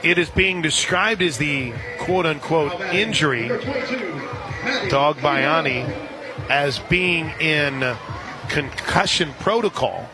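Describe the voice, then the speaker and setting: A man doing live radio play-by-play commentary of a softball game. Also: fairly high pitch, slow pace, music in the background. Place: outdoor softball stadium broadcast position. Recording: outdoor ballpark, clear crowd noise behind.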